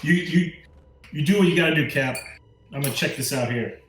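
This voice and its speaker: A man's voice: on the high side, fairly quick, fairly bright tone, faint music behind. A man chatting casually as a player in a tabletop game. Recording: very echoey room sound.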